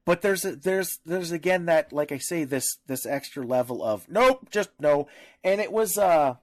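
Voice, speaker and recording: A man co-hosting a casual discussion, talking haltingly, often searching for words and rephrasing as he goes. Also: on the high side, expressive. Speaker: podcast co-host.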